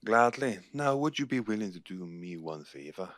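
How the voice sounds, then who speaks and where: A man playing a guarded, seasoned werewolf pack leader with an Irish accent in an audio roleplay, speaking in a measured, grave way. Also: lowish voice, lively intonation, fairly bright tone, no echo, studio quality. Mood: warm, cordial.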